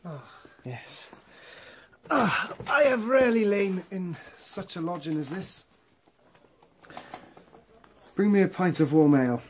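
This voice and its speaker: A man playing a weary, sighing travelling gentleman, somewhat grand, with faint noise on the recording. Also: high voice, expressive, takes it slowly, pauses often.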